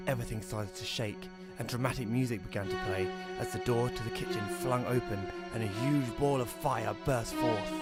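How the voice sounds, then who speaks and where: British guy acting narrating audio drama as a grumbly columnist, lowish voice, wry n chatty. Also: natural voice. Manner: wry, conversational. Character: wry, grumbling young male advice columnist. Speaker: narrator and lead character.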